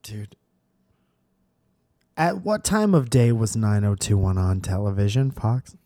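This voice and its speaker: A man's voice: fairly low pitch, lively intonation, unhurried, warm tone, no echo, clean studio sound. A man co-hosting a podcast while sick with a cold, teasing and laughing often in casual chat. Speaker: podcast co-host.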